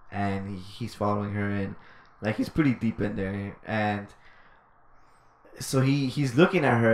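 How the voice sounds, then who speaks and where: A man's voice: lowish voice, roomy sound. A man hosting a podcast, telling a story in a laid-back casual way with lots of fillers and swearing. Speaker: podcast host.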